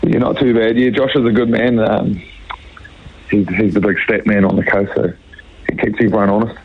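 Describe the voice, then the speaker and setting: A man in his thirties with a New Zealand accent, a club rugby player with a croaky voice, answering in a halting way with many fillers. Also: lowish voice, steady tone. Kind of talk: radio phone interview. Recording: muffled phone line.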